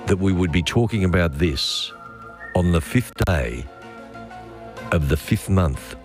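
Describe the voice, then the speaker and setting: A man's voice: low-pitched, expressive, takes it slowly, music in the background. A man hosting a talkback radio show, playful and teasing with callers. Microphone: studio microphone.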